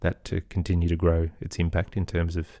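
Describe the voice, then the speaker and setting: A man's voice: low-pitched, monotone, brisk, dark tone, no room sound, studio quality. A middle-aged man giving thoughtful, earnest answers as an interview guest in a conversational way. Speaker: interview guest, podcaster and musician.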